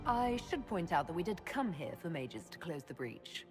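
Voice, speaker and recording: An actress playing a calm, diplomatic young heroine in a fantasy game, composed and earnest. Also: female voice, fairly low pitch, normal speed. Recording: echoing hall with music behind.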